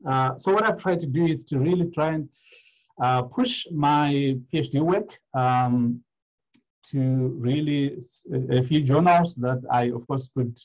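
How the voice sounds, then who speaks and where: A man's voice: medium pitch, unhurried, darkish tone. Hesitant man from Zimbabwe on a video call. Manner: hesitant, many "uh" fillers. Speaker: guest speaker, economic historian. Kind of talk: academic online presentation. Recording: dull lo-fi video call audio.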